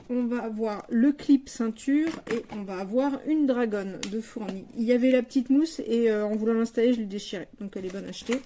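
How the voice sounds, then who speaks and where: Casting: female product reviewer, slightly high voice with a very mellow tone, unscripted, colloquial and candid delivery. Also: slight background noise. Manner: frank, plain-spoken, colloquial.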